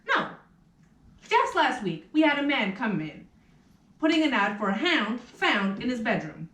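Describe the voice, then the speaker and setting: A prim, officious clerk from a puppet play, acted by a woman. The voice is very high and swings up and down with fussy, chatty exaggeration. It comes from some way off in a roomy space. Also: big pitch swings, a little slow, a bit bright. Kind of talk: theatrical puppet show acting. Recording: roomy, distant rehearsal room recording.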